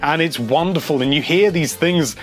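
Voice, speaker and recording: A man's voice: fairly high pitch, moderate pace. Chatty enthusiastic male video host. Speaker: video host answering viewer comments. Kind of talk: casual chat reading comments aloud.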